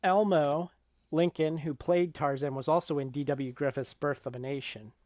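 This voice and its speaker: A man co-hosting a casual film discussion, explaining things in a measured, conversational way. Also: on the high side, fairly even intonation, darkish tone, dry recording. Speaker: podcast co-host discussing a film.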